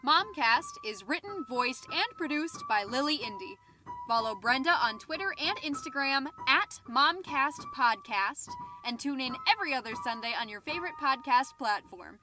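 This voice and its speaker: A woman's voice: high voice, animated, moderate pace. A woman reading scripted podcast credits as an announcer, with music behind her.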